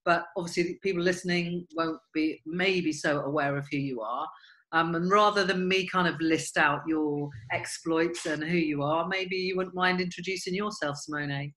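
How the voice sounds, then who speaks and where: A woman's voice: lowish voice, steady tone, moderate pace, a bit bright, poor audio. A woman hosting a self-development podcast, talking in an upbeat, chatty way and laughing often. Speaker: podcast host. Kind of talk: casual interview chat.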